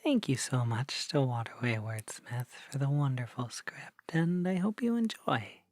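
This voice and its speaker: A man giving a soft, breathy, mostly whispered introduction as an ASMR performer. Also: medium pitch, expressive, measured pace.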